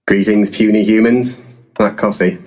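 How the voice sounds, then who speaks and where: A man playing an eccentric, drunken ghost hunter in a comic audio drama, husky, theatrical and imperious. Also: lowish voice, fairly even intonation, moderate pace, noisy background, close to an everyday voice. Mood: grandiose.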